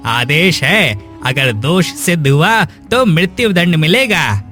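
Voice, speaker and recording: A man voices a stern king's soldier in a cartoon moral tale. He is formal and commanding, delivering orders slowly over clearly audible music. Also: fairly high pitch, lively intonation, pushed and bright, full character voice. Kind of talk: dramatised moral story for cartoon. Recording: music behind the voice.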